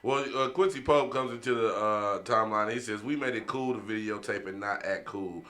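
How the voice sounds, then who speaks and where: A man's voice: fairly low pitch, moderate pace, sharp tone. A man hosting a casual round-table podcast, speaking reflectively and putting questions to the others. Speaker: podcast host.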